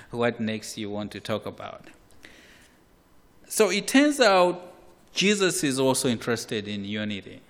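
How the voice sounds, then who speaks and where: A man from Malawi, preaching a sermon in a slow, measured way with repeated words. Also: medium pitch. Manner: slow, measured, with repetitions and occasional soft laughs. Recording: hall reverb.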